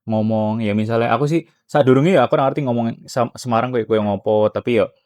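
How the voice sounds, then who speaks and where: A man's voice: mid-range voice, fairly quick, a little mellow, dry recording. A young man from Cilacap with a Banyumasan Javanese Ngapak accent, a student guest talking in an easygoing, rambling way, mixing slang with Javanese. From Cilacap, Central Java. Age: young adult. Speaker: podcast guest, university student. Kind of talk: casual podcast chat.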